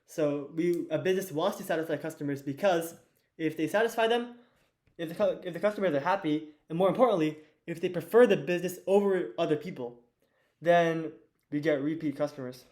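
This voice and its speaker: A teenage boy with a hoarse, fading voice, teaching an investing lesson at a whiteboard in a loose, chatty way with lots of fillers and restarts. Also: a little high, fairly quick, darkish tone. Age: teens. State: hoarse, losing his voice. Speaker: student teacher of an investing course.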